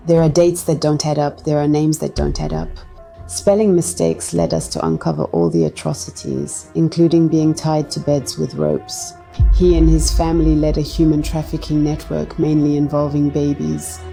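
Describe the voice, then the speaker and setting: A woman raised in Belgium answers interview questions calmly and matter-of-factly. Her voice is low with a gravelly fry and a warm tone. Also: normal speed, faint music behind. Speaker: guest. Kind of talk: personal interview.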